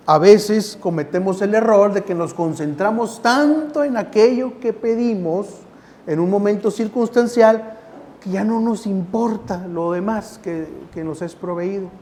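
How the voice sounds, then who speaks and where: High-pitched, slow-paced male preacher. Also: a little mellow, a little hiss or hum. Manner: conversational, repeating phrases for emphasis. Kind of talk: sermon with scripture reading.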